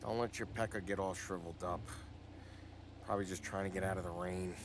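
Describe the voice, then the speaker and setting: A man's voice: deep voice, fairly even intonation, fairly quick, noisy background. An actor playing a brash, joking male mobster who teases crudely and laughs often, in a video game cutscene. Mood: ribbing. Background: score music.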